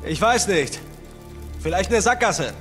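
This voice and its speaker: An actor playing a scrappy, quick-witted young treasure hunter in a dubbed video game, casual, wry and expressive. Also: male voice, high voice, heavily acted. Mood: pondering. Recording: music behind the voice.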